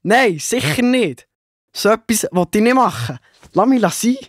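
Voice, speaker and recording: A male actor plays an earnest, upright young man in a children's Bible play. His voice sits fairly high and explains things plainly. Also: very animated, a little slow, husky, no echo, clean studio sound, full character voice. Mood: alarmed, refusing. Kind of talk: children's Bible audio drama.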